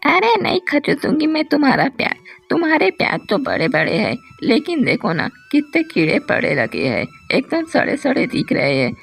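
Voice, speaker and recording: A woman's voice: high voice, huge pitch swings, moderate pace, vocal fry, exaggerated voice. A woman playing a scornful, fussy village customer in a cartoon story, dismissive and scolding. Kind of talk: Hindi moral-story cartoon dubbing.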